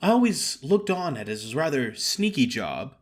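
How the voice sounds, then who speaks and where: A man's voice: mid-range voice, sing-song, moderate pace. A man voicing a small, frank young chorus girl in an audiobook, chatty, earnest and plain-spoken. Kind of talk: audiobook character acting.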